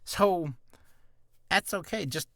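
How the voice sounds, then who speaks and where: A man's voice: high-pitched, takes it slowly, a bit bright, no echo, studio quality. A man giving beginner voice-acting advice in a casual vlog, nervous and rambling, with self-deprecating asides, from a small, hot, cramped room.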